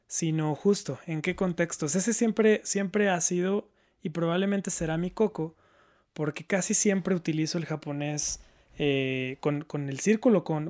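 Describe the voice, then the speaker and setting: A man's voice: on the high side, darkish tone. A man chatting casually and reflectively, repeating words as he searches for the right phrasing. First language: Spanish. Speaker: panelist, learner of Japanese.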